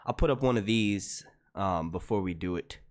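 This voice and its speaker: A young man hosting a casual live stream at home into a desk microphone, chatty and profane, with a naturally loud, carrying voice. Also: deep voice, normal speed. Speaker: YouTube live stream host. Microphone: desk mic.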